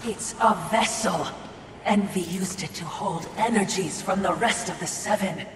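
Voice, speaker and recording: A woman's voice: medium pitch, a little slow, natural voice. Woman as a fawning spirit servant, echoing, distant. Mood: fawning, hesitant. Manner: deferential, formal, insinuating. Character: fawning, sly, obsequious spirit servant and watcher. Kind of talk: video game character acting. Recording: echoey distant hall, noise behind.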